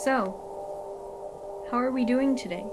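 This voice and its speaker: High-voiced anxious young heroine played by a woman. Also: takes it slowly, very noisy. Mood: concerned. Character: caring, anxious young woman, the hero's close companion. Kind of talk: fan audio drama acting. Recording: loud music over the voice.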